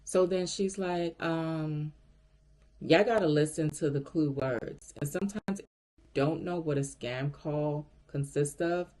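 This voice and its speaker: A man's voice: fairly high pitch, measured pace, darkish tone. A man telling a story casually as a vlogger, speaking straight to viewers and acting out the dialogue as they go. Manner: conversational, addresses viewers directly, acts out quoted dialogue. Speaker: vlogger recounting a work story.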